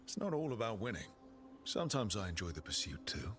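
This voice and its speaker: A man playing an easygoing, encouraging adventurer in a video game cutscene, with a raspy voice and a wry, reassuring manner. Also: fairly low pitch, sing-song, fairly quick, a bit bright. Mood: light, wry. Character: easygoing, encouraging male adventurer, trusted comrade. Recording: music behind.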